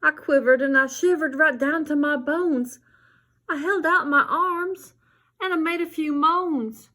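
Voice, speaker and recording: A woman's voice: sits high, a little slow, pushed and bright, voice pushed up. A woman voicing a little zombie ballerina girl, dramatic and eager, in a theatrical children's storybook reading. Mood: dramatic, spooky.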